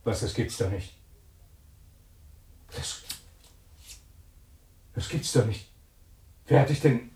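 An actor playing an uneasy, irritable scientist in a German radio thriller, speaking in a hesitant, agitated way. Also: male voice, mid-range voice, takes it slowly, pauses often, dark tone, breathy edge. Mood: puzzled, anxious. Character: uneasy, irritable male scientist living alone with budgie.

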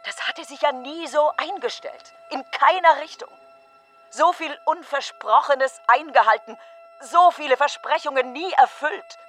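A woman's voice: high voice, unhurried, fairly bright tone, faint music behind. An actress playing a grieving woman who questions a death in fragmentary phrases, in a literary radio monologue. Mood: bitter, resigned.

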